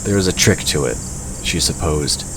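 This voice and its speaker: Male narrator, extremely low pitch, scripted storytelling, clear background noise. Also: lively intonation, moderate pace.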